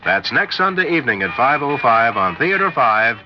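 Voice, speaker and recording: A man's voice: medium pitch, sing-song, normal speed. A man reading a scripted programme announcement as a 1960s radio station announcer. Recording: muffled old radio, music behind.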